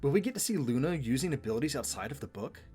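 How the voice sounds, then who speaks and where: Lively, casual co-host with music underneath. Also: mid-range voice, rapid-fire. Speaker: video co-host. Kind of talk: conversational video commentary.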